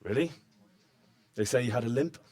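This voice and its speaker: A man's voice: mid-range voice, expressive, moderate pace, background noise, close to an everyday voice. A man playing an earnest, plain-spoken young servant of a lord in a medieval video game. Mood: earnest, polite.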